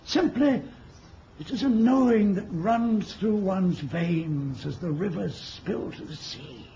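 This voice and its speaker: An actor playing an ancient wizard and mentor in a radio play, speaking in a poetic, archaic and wistful manner. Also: male voice, high-pitched, mellow, full character voice. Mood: gently instructing. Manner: poetic, grandly archaic, chuckling speech. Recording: dull, noisy old radio recording.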